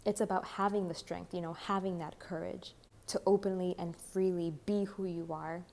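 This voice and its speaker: A young woman, a bit hoarse, giving a rambling spiritual tarot talk full of "like" and "you know". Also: on the low side, steady tone, normal speed, a little mellow. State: slightly hoarse. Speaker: tarot reader. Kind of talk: channeled spiritual monologue.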